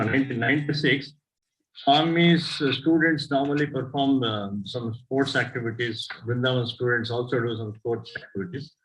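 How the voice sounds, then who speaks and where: An older man with an Indian accent whose first language is Tamil, telling personal stories at a devotional gathering in an unhurried, halting way and often correcting himself. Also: medium pitch, muffled recording. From southern India. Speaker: devotee sharing experiences. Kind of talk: personal storytelling talk.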